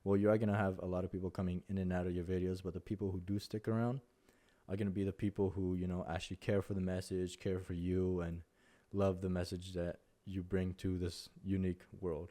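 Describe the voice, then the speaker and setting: A man's voice: low-pitched, level tone, a little fast, warm tone. A young Mexican man with a Spanish-accented voice, giving casual advice as a content creator, rambling with many fillers and restarts. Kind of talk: casual talking-head advice.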